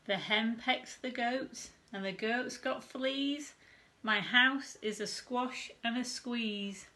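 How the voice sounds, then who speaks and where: A woman's voice: a little high, takes it slowly, pushed and bright. A woman voicing a grumbling, exasperated little old lady from a children's storybook, exclaiming plaintively and dramatically. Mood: pleading, fretful. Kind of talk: children's storybook character reading.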